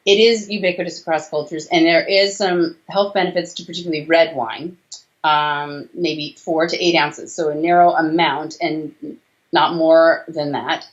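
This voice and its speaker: Low-pitched woman explaining, slightly dull sound. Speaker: doctor and author, interview guest. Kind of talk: conversational interview explanation. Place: small room indoors, video call. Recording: slightly dull video-call audio.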